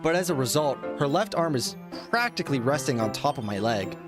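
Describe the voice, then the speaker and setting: A man's voice: medium pitch, sing-song, fairly quick. A man streaming a game, reading a visual novel aloud and adding casual, joking commentary. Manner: joking, self-interrupting asides. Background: loud game music.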